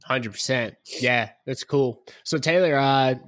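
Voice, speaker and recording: Man, podcast host, sick and slightly rough, laid-back drawl, medium pitch, dry close sound. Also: unhurried, fairly bright tone, no echo. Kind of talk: casual chat. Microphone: remote call, each on own mic.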